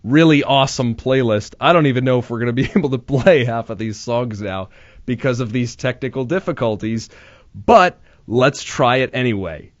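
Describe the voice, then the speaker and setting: A young man hosts a casual radio show, rambling and nervous with plenty of restarts and self-deprecating jokes. His voice sits at an ordinary pitch, picked up dry and slightly dull on a studio mic in a small room. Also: normal speed, dry recording. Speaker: radio host. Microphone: studio microphone.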